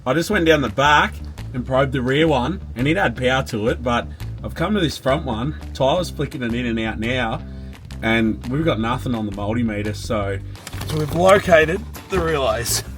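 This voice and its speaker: An easygoing Australian man talks his way through a four-wheel-drive outing, laughing often and keeping it casual. His voice sits at an ordinary middle pitch. Steady background music runs under him. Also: normal speed. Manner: laughs easily, chatty. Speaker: vlogger leading a 4WD trip. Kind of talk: casual chat and narration.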